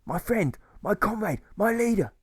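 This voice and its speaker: A man's voice: sits high, animated, normal speed, slightly rough, no room sound, clean studio sound, pitched above the performer's own voice. A man voicing a big-headed, exclamatory male army deserter in an audiobook story. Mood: awestruck. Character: big-headed, indignant male deserter turned awestruck follower.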